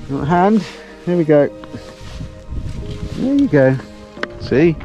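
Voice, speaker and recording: A man's voice: fairly high pitch, big pitch swings, slow pace, over music, poor audio. A middle-aged man, chatting casually to camera and his dog while hiking a mountain ridge outdoors. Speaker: hiking vlogger. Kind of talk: casual outdoor vlog commentary. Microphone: action camera on a pole.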